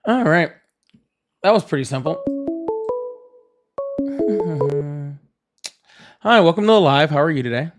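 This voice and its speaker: Sarcastic, higher-pitched male live debate host. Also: expressive, slow pace, long pauses, loud music behind the voice. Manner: sarcastic, dismissive with callers. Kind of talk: casual political debate and reading out super chats.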